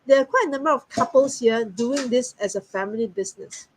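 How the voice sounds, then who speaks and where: A woman's voice: fairly high pitch, a little fast, a little hiss or hum. A woman from Singapore, talking as a guest in a friendly, earnest way. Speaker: guest business partner. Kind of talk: casual online talk.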